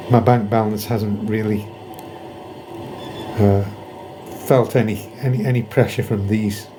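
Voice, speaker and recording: Mellow-voiced man chatting through a demo. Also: mid-range voice, slight background noise, poor audio. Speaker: model railway vlogger. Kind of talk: casual explanatory narration.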